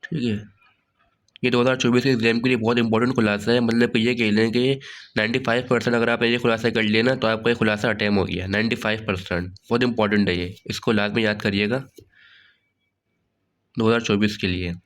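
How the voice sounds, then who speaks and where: Hoarse low-voiced male tutor. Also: fairly quick. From Pakistan. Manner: relaxed, repeats "theek hai" as filler. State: hoarse, sore throat. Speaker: online tutor. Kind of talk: casual study-guide walkthrough.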